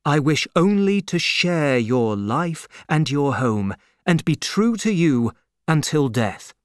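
Brief: earnest young gentleman, read by a man, slow and sincere, lively intonation. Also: a little high, clear, resonant tone, dry recording, clean studio sound. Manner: earnest, measured.

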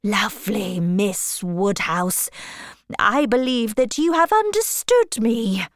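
A woman voicing an ardent, proud gentleman suitor in an audiobook, speaking formally and with gushing emphasis. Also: high voice, animated, slow, creaky, exaggerated voice. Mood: ardent, pleading. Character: ardent, proud young gentleman suitor, pleading then indignant. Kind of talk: audiobook character dialogue.

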